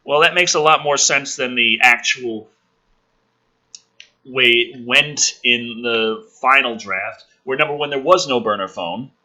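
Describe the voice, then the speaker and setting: A man's voice: mid-range voice, a little slow, pushed and bright. A man giving a ranting, sarcastic commentary as he reads a screenplay aloud, profane and exasperated. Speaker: host of a movie script review.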